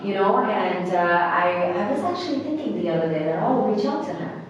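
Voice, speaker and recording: A woman in her thirties from Bangladesh, telling her life story as an interview guest in an earnest, halting way with many restarts. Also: fairly low pitch, animated, dull audio. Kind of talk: personal storytelling in conversation. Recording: echoey hall, mic at a distance.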